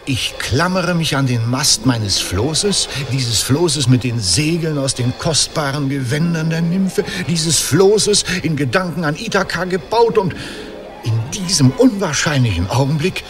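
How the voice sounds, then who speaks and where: An actor playing a sentimental aging Greek hero in a radio play, with a hesitant, poetic, declamatory delivery. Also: male voice, fairly high pitch, animated, unhurried, music in the background. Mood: rapt, wistful. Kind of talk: theatrical German radio drama acting.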